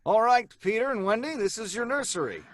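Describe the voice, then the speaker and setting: A man's voice: high voice, lively intonation, no room sound. A man playing a troubled father. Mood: cheerful. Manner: halting, uneasy, with nervous laughs. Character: troubled middle-aged husband and father. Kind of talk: live stage radio play.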